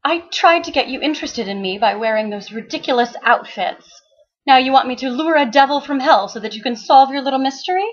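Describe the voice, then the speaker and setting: A woman voicing a fiery, defiant madam in a story reading, shrill, commanding and dramatic. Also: lively intonation, a little fast, dull audio. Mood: indignant, scornful.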